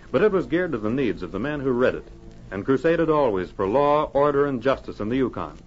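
A man narrating an old radio adventure serial in a formal, dramatic storytelling style. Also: mid-range voice. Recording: muffled old radio recording with music.

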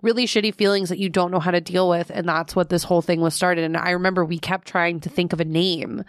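A young woman co-hosting a podcast in a studio, chatting casually and getting animated, her pitch jumping up when she gets worked up. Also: fast, dry recording. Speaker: podcast co-host. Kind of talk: casual chat.

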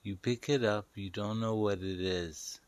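A man narrating a guided meditation as a slow story, speaking deliberately in short, paused phrases and instructing the listener. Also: fairly low pitch, fairly even intonation, dry recording.

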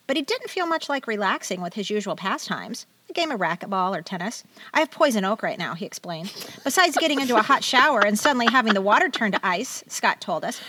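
A middle-aged American woman with a bright, lively voice that swings widely in pitch. She chats casually as a podcast co-host, dropping wry commentary between read-aloud snippets. The sound is close and dry. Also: dry recording. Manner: animated, chatty, wry commentary. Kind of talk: casual chat with read-aloud magazine excerpts.

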